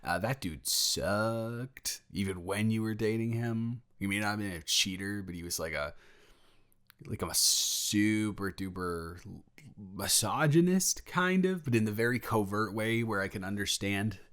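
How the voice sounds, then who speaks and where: Casting: male actor for protective young male best friend and roommate, low register, halting casual delivery, sheepish with a jealous streak, intimate audio drama. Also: a bit bright. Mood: candid, scornful. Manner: hesitant, casual, halting with pauses. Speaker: audio roleplay performer. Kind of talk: improvised intimate roleplay monologue.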